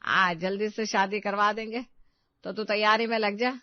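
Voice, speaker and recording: A woman's voice: medium pitch, steady tone, normal speed, no room sound, dull audio, close to an everyday voice. A woman voicing a harsh, bossy older mother-in-law with a commanding manner in a family drama story. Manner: scolding, commanding.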